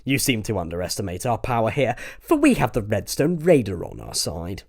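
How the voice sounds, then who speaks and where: A man's voice: fairly low pitch, very animated, a little fast, gravelly, heavily acted. A man voicing a cocky young male gamer hero with a grandiose, declamatory delivery. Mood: boastful.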